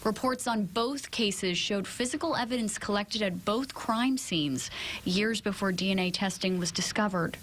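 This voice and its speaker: American woman, news reporter, mid-pitched scripted narration, slight noise behind the voice. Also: moderate pace, a bit bright.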